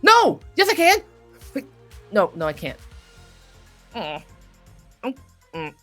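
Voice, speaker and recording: A woman chatting casually as an interview guest, excitable, effusive and expressive in the way she talks. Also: a little high, takes it slowly, soft background music. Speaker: voice actor guest being interviewed.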